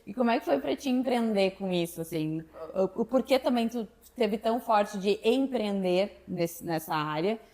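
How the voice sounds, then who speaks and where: Woman, interview host, conversational, encouraging questions, mid-pitched voice. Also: lively intonation, moderate pace, few pauses, a little mellow. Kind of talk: casual interview chat.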